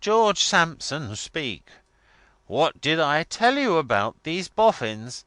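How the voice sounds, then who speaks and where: A man's voice: a little high, very animated, slow pace, pushed and bright, no echo, pitched above the performer's own voice, full character voice. A man voicing an irrepressible, bossy young girl in a storybook, exclaiming with melodramatic force. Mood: dramatic indignation. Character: irrepressible bossy teenage younger sister, shrill and theatrical.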